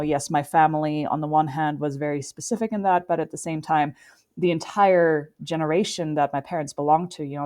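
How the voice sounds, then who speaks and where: A woman with a low, grounded voice and a noticeable German accent, answering interview questions in English, her second language. She speaks in measured, articulate sentences, often pausing on "you know". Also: a little mellow. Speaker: guest, endurance athlete. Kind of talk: conversational interview.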